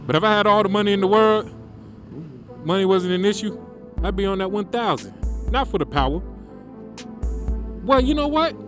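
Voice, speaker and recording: A man talking casually to viewers while riding a motorcycle on country roads, relaxed and slangy, through a helmet mic. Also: high voice, moderate pace, long pauses, over music. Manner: laid-back, slangy, addresses viewers directly. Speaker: motovlogger.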